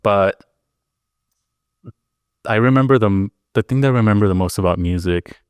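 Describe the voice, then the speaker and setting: A man's voice: lowish voice, normal speed, clear, resonant tone, no room sound. Easygoing man from the Sonoran border. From Arizona-Mexico border, Sonoran Desert. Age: 30s. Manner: easygoing, reflective storytelling with many fillers. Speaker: podcast guest, music producer and DJ. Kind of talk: casual interview chat.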